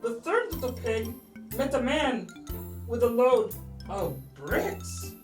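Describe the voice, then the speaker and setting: A woman's voice: on the high side, very animated, slow, roomy sound, far from the mic, soft background music. A woman telling a children's story in a dramatic, playful way, drawing out key words.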